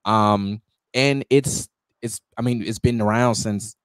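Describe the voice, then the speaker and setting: A man's voice: on the low side, steady tone, slow, a bit bright, smooth, no echo. A man with an American accent hosting a podcast in casual conversation, agreeable and reflective as he responds. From United States. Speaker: podcast host.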